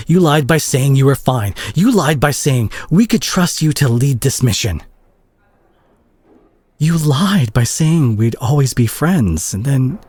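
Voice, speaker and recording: A man acting a concerned superhero who confronts a reckless friend in a dramatic audio roleplay, speaking earnestly and haltingly with a husky, breathy voice. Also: mid-range voice, a little mellow, no room sound. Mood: hurt, angry.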